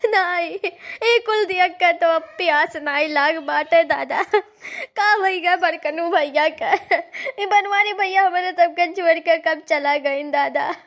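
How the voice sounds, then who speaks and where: Weepy cartoon village neighbour, awadhi accent, really squeaky high, slow whiny delivery. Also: female voice, a bit bright, full character voice. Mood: tearful shock. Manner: plaintive, drawn-out exclamations. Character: tearful village neighbour woman consoling the bereaved widow. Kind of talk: cartoon comedy acting.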